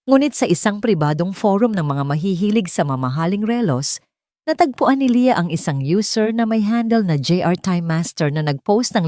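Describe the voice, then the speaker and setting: Filipina woman narrating a romance audiobook, lowish voice, dry close sound, filipino accent. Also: mellow, dry recording. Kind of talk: scripted dramatic romance story narration.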